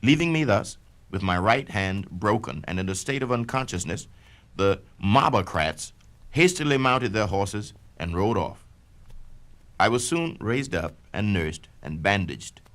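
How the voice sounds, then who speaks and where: Expressive low-voiced man reading a memoir. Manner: measured, declamatory and expressive. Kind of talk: dramatic first-person reading of an autobiography. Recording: vintage spoken-word record.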